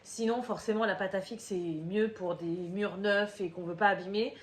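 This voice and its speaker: A woman's voice: medium pitch, fairly even intonation, normal speed, reverberant, a little hiss or hum. A woman with a slight cold, chatting casually to her camera at a table at home, rambling with many fillers and restarts. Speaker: crafting vlogger. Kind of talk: casual chatty video monologue. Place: room at home, table in front. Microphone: camera at table, head out of frame.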